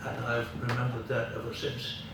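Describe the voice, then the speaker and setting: An older man with a Scottish accent, a veteran actor giving a halting, rambling after-dinner vote of thanks with theatrical emphasis. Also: mid-range voice, completely flat delivery. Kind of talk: after-dinner speech with anecdotes. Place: large room at a club luncheon. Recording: very echoey room from far off, noisy.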